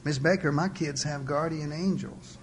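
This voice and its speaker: A saintly, poor mother voiced by a male storyteller. The speech is soft, earnest and deliberate. There is a quiet firmness under the gentleness, with clear background noise behind it. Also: a little high, natural voice.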